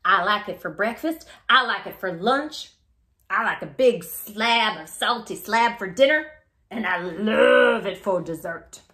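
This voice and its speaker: A woman voicing a dramatic young crocodile who loves watermelon and panics easily, exclaiming in drawn-out words for a children's storybook. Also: medium pitch, animated, bright, forceful tone. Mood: gleeful, greedy. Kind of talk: children's storybook read-aloud.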